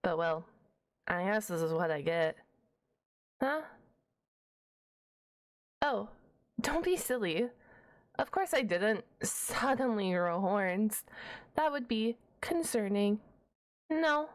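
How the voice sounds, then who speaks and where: A woman's voice: mid-range voice, lively intonation, slow, pauses often. A woman playing a shy, nerdy half-dragon librarian in an ASMR roleplay, stammering and wordy, awkwardly defensive and a stickler for rules. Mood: sheepish. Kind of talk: ASMR roleplay audio drama.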